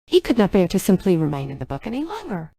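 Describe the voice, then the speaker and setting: A woman's voice: fairly low pitch, huge pitch swings, fast talker, mellow, slightly rough, dry recording, studio quality, heavily acted. A synthetic female voice playing an earnest, formal young genie of a magic ring in a dubbed game cutscene. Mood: grave, pleading. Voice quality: synthetic text-to-speech voice. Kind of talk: dubbed game cutscene dialogue by text-to-speech.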